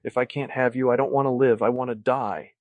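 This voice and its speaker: A man's voice: deep voice, level tone, fairly quick, slightly rough, no room sound, phone-quality sound. A man voicing a desperate, lovesick man who pleads and clings, wailing and blubbering in an audiobook. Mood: despairing.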